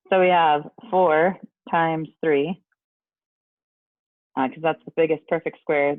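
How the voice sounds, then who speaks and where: A man's voice: a little high, creaky, no echo. A man teaching a casual online math lesson, chatty with rambling asides, his voice scratchy from allergies. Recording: dry, tinny phone-like sound.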